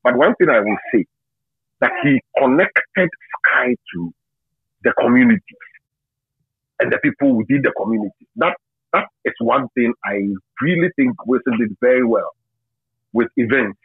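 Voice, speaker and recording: Tinny phone sound, ghanaian man middle aged, raspy voice, halting reflective interview answers. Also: medium pitch, animated, dry recording. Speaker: interview guest, media and marketing professional. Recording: tinny narrow phone-like line.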